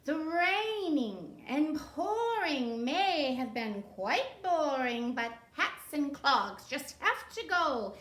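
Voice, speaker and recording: Woman playing a slow, singsong witch chanting spells. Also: high-pitched, sing-song, a bit bright, pitched above the performer's own voice, heavily acted. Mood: theatrical, commanding. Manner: drawn-out, singsong, theatrical incantation. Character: dramatic young witch chanting rhyming spells. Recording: roomy echo, faint background noise.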